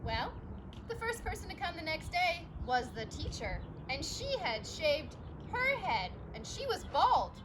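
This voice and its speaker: High, animated woman reading to children. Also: unhurried, background noise. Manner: expressive, emphatic, speaking directly to young children. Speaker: teacher reading a children's picture book aloud. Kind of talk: animated storybook read-aloud with encouraging asides to children.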